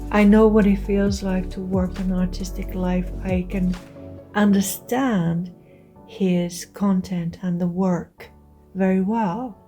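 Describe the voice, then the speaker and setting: A woman's voice: medium pitch, fairly even intonation, slow, dark tone. A middle-aged woman from Finland with a Finnish accent, an artist speaking earnestly and heartfelt to camera, with faint music behind. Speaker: visual artist. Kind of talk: monologue to camera.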